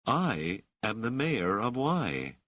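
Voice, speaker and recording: A man acts out a self-assured woman ruler of middle age in a novel reading. The pitch is lifted well above a usual male voice and moves expressively. The manner is gracious, poised and used to command. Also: very animated, fairly bright tone, no echo, dull audio, exaggerated voice. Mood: proud, assured. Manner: poised, formal, measured phrasing.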